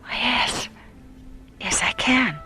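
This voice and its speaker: An actress playing an earnest, emotive woman doctor on a space station in a radio drama, with a breathy delivery. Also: a little high, expressive, slow pace, whispered. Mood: vulnerable, hopeful. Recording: radio drama with music underneath.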